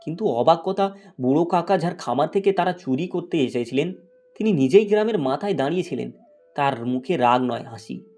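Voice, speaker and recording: A man's voice: fairly high pitch, animated, fairly quick, dark tone, faint music behind. A man narrating a scripted moral fairy tale as a storyteller.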